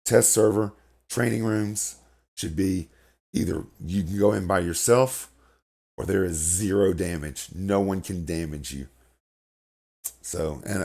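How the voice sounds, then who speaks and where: A man's voice: low-pitched, unhurried, a little mellow. A middle-aged man with a crackly voice, rambling through casual game commentary from his home setup. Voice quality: crackly, gravelly voice. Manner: rambling, repeats words, swears when annoyed. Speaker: gaming video host. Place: home room, indoors.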